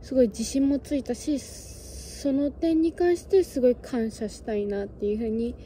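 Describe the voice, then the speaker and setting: A woman's voice: sits high, normal speed, mellow, slight background noise. A young woman from Japan in her twenties chatting casually to her phone camera on an outdoor hilltop, musing aloud as she goes. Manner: chatty, musing, talks to birds and cats in a sing-song voice. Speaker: travel vlogger. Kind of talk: casual vlog chat to camera. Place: outdoors on a windy hilltop fortress. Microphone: phone camera close by.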